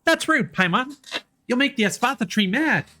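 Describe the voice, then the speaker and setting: A man voicing a gentle forest spirit in a video game, reverently telling old lore. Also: very high voice, animated, normal speed, a little hiss or hum, pitched above the performer's own voice, heavily acted. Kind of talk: reading game lore in character.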